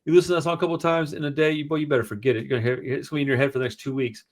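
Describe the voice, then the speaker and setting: A man with a booming voice co-hosting a casual music review show, talking in an animated, slangy, rambling way. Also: racing. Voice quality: booming, projecting voice. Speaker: podcast co-host.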